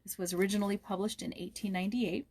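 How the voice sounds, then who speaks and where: A woman narrating a children's story aloud in a measured, expressive storytelling manner. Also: mid-range voice. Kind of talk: storybook read-aloud with informal introduction.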